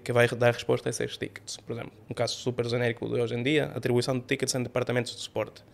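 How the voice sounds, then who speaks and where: A man in his thirties, not a native Portuguese speaker, explaining ideas in an animated podcast interview. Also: mid-range voice. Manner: animated, gestures with both hands while explaining. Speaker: AI company CEO guest. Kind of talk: conversational interview answers. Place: podcast studio room with sofas. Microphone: studio mic on boom arm.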